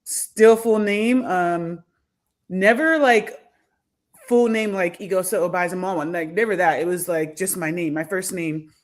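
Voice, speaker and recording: Thoughtful woman guest, soft-spoken. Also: on the low side, measured pace. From United States. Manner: thoughtful, many fillers and restarts. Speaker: podcast guest, academic. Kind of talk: reflective conversational interview.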